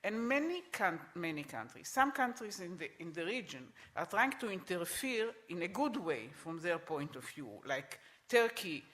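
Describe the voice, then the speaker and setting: A middle-aged man speaking on a conference panel, emphatic and repeating his points, with halting restarts. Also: high-pitched, big pitch swings, a bit bright. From Israel.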